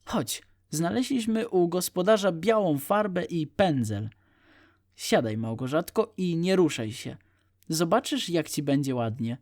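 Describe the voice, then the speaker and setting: A man's voice: fairly high pitch, big pitch swings, measured pace, a little mellow. A man voicing a cheerful, bossy little female frog who gives eager instructions. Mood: encouraging.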